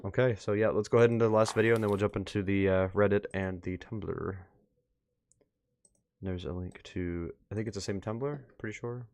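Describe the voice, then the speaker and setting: Low-voiced man giving casual reaction commentary. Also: fairly even intonation, a little fast, darkish tone, no room sound. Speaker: reaction video host.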